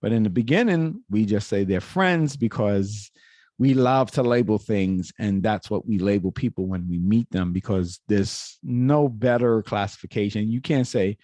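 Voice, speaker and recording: A man talks into a close mic with a low, mellow voice and a very dry sound. He lectures in a casual, opinionated way, often restarting his sentences mid-thought. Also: moderate pace, no room sound. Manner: lecturing, frequent restarts and self-corrections. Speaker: reaction video host.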